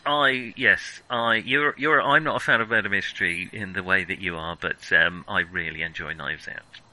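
A man's voice: sits low, normal speed, pushed and bright, poor audio. A man chatting casually and jokily about films as a podcast co-host. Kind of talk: casual film chat.

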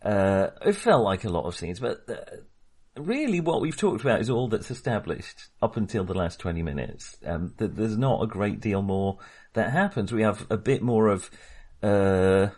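A man's voice: on the low side, lively intonation, moderate pace, darkish tone. A middle-aged man co-hosting a casual film discussion, talking in a wry, hesitant, self-correcting way. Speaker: film podcast co-host.

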